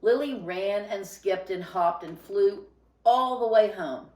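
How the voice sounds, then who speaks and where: A woman reading a children's story aloud in an expressive narrating style. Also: mid-range voice, takes it slowly, echoey room. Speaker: storybook reader.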